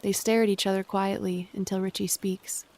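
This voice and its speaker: A woman's voice: mid-range voice, flat delivery, moderate pace, darkish tone. A woman reading scripted stage directions as the narrator of an audio drama.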